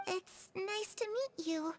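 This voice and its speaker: A woman's voice: extremely high pitch, slow, background noise. An actress playing a sweet, cheerful little girl in a video game, with a cutesy, bubbly manner. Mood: friendly, welcoming.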